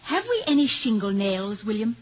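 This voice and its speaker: An actress playing a charming, playfully wry middle-aged wife in 1950 radio comedy, soothing, gracious and coaxing. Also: female voice, medium pitch, sing-song, normal speed, close to an everyday voice. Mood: solicitous. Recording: muffled, noisy old radio broadcast recording.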